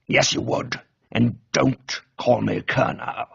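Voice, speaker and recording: A man playing a furious, blustering, middle-aged country gentleman who splutters accusations in a radio comedy reading. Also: mid-range voice, fairly even intonation, takes it slowly, creaky, breathy edge, muffled recording, exaggerated voice. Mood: outraged.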